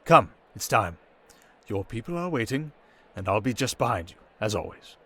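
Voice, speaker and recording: Male actor as criminal mastermind, lowish pitch, silky and seductive, theatrical pauses, cunning, teasing, intimate ASMR roleplay. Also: big pitch swings, pushed and bright, breathy edge, full character voice. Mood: admiring, tender.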